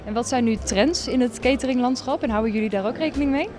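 A woman's voice: a little high, over music. A young woman reporting for a news segment and interviewing people in a university canteen with a handheld microphone. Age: young adult. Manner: lively, quick questioning. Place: university canteen and outdoor campus.

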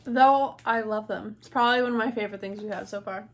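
A woman's voice: mid-range voice, fast. A woman in her thirties from the United States, chatting enthusiastically as she tastes and reviews snacks with a co-host, talking between bites. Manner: chatty, enthusiastic, talks while eating. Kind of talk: casual snack tasting chat. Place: indoor room before a glitter backdrop.

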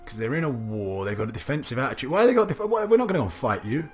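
A young man casually commentating a strategy game, thinking aloud, his voice a little gravelly from a recent cough. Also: mid-range voice, big pitch swings, very fast. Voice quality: slightly gravelly. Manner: musing aloud, chatty, self-talking. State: recovering from a cough, slight gravel. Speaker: let's play commentator. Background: faint game music.

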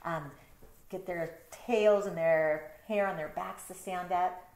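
A middle-aged woman reading a picture book aloud with casual asides, expressive and explaining things to young listeners. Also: fairly low pitch, slow pace. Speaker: storytime reader, teacher. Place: bedroom, indoors. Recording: roomy bedroom sound.

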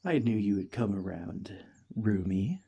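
A man voicing a cheerful, friendly alien parasite in a gentle, chatty ASMR roleplay, eager and reassuring. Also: lowish voice, animated, unhurried, slightly rough, heavily acted. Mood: cheerful, affectionate. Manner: gentle, chatty, playfully earnest.

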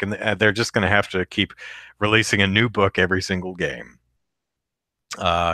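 A man with a deep voice hosting a live podcast, reading the chat aloud and rambling casually with many fillers and restarts. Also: sharp tone, no room sound, clean studio sound.

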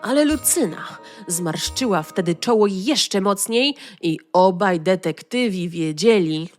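A woman narrating a children's audio story in an expressive, animated way. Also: medium pitch, a little slow, soft background music.

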